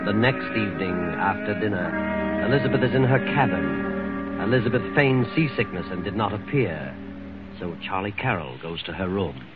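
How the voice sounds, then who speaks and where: A man's voice: on the low side, lively intonation, moderate pace. A man narrating an old-time radio drama in a scripted style. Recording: tinny old radio broadcast. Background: music.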